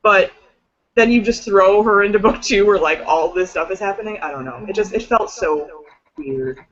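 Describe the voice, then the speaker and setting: A woman's voice: medium pitch, normal speed, lo-fi sound. A woman chatting casually and emphatically in a book discussion, swearing freely and leaning on "like". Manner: emphatic, swearing, filler-heavy with "like".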